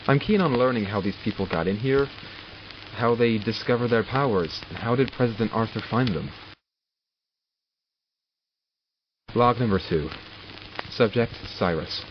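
A man playing a curious, wry doctor in an audio drama, dictating log entries in a measured, formal, dryly sardonic way. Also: on the low side, a little hiss or hum, close to an everyday voice. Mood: clinical, curious. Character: male doctor logging studies of supernatural captives, curious and wry. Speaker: voice actor. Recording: dull lo-fi recording with music behind. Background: music.

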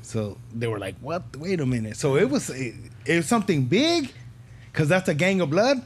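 Male podcast host, 30s, a touch higher-pitched, slangy and jokey, relaxed chat into a desk mic. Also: normal speed, a little hiss or hum. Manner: slangy, joking. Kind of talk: casual podcast banter. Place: small curtained podcast studio. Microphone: podcast mic on desk stand.

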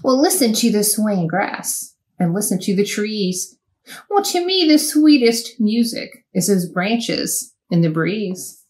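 A woman voicing a kindly, wise little male cricket in a storybook reading, speaking softly and encouragingly. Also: mid-range voice, very animated, slow pace. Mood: gentle.

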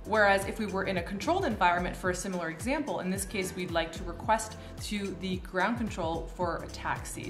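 Canadian woman, low-pitched, in an echoey room. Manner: chatty, informal, gestures while explaining. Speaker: pilot and video host. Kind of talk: casual educational explainer to camera. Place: small furnished room indoors. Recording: roomy, echoey indoor sound.

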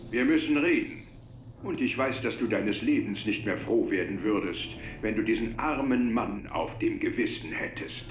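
An actor playing a cruel male villain who taunts slowly and mockingly. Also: on the low side, expressive, a little hiss or hum, close to an everyday voice. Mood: taunting. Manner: slow, gloating, mocking. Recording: echoey game audio with music.

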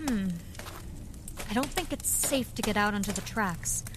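An actress playing a practical, caring masked woman vigilante in an audio drama, measured and lightly wry. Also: medium pitch, background noise, natural voice. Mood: practical, concerned.

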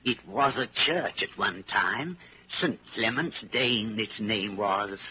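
Slow, chatty old shopkeeper, old radio. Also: male voice, lowish voice, pushed and bright, exaggerated voice. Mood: wistful reminiscing. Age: older. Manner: rambling, hesitant, polite old-timer's talk. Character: elderly widowed junk shop keeper, chatty, wistful, deferential. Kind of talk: 1940s radio drama acting. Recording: muffled, hissy 1940s radio recording.

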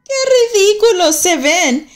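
A cheerful little farm girl in a storybook, high and teasing as she calls out, played by a woman reader. Her voice swoops up and down, slow and playful. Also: animated, soft background music. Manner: bright, teasing, raised.

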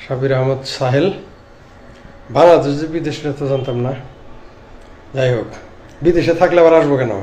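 A man's voice: mid-range voice, fairly quick, long pauses, dark tone. Middle-aged Bangladeshi man, noisy recording. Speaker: political commentator. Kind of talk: informal political commentary to camera. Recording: clearly noisy background ambience.

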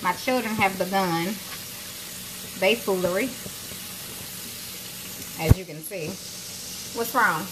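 A woman's voice: medium pitch, slow, long pauses. A woman, talking casually to viewers while she cooks in her home kitchen on a phone livestream. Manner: chatty and playful, talks to viewers as y'all. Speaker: cooking show host. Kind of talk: casual livestream cooking chat. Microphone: phone on a tripod, close to arm's length. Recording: noisy kitchen with sizzling frying.

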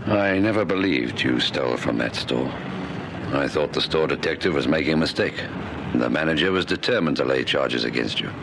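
Very deep-voiced kindly policeman. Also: lively intonation, normal speed, gravelly, exaggerated voice. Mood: friendly. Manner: plain, reassuring. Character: kindly, patient male police warrant officer. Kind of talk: radio drama acting. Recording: noisy old radio drama recording.

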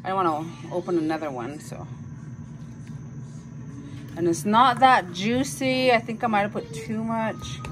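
A woman in her thirties talks her way through cooking in a home kitchen, recorded close on a phone. Her voice sits at an ordinary middle pitch, and she fills her chatter with fillers and small apologies. A faint household hum runs under her voice. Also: animated, unhurried, long pauses. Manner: chatty, self-narrating, filler-heavy, apologetic. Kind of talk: casual cook-along chat.

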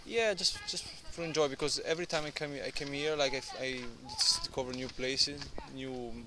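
A man's voice: mid-range voice, normal speed. A man in his twenties, speaking eagerly in rambling, non-native English during a street interview in a garden courtyard. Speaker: interviewee, Italian tourist. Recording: outdoor noise in the background.

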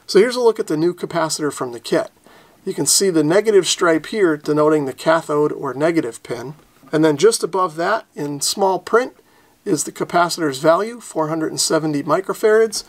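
Repair tutorial host: American male, forties, higher-than-average pitch, casual explanatory delivery. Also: creaky. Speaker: host of a radio repair channel. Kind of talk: instructional narration of an electronics repair.

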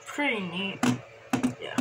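A woman casually showing off a hobby collection, halting and trailing off, recorded on a handheld phone indoors. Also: medium pitch, lively intonation. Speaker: video host showing a personal radio collection. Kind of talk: casual hobby show-and-tell. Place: small cluttered room indoors. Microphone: handheld phone camera. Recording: clicky, noisy handheld home recording.

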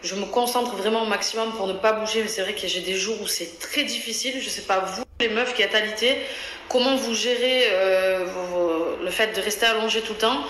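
A woman's voice: mid-range voice, brisk, fairly bright tone, reverberant, a little hiss or hum, low-quality recording. A pregnant woman in her thirties chatting casually to her phone camera at home, outspoken and chatty, with lots of fillers and restarts. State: pregnant, on bed rest. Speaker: vlogger. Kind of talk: casual vlog chat. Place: home interior, bedroom and bathroom. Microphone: phone held at arm's length.

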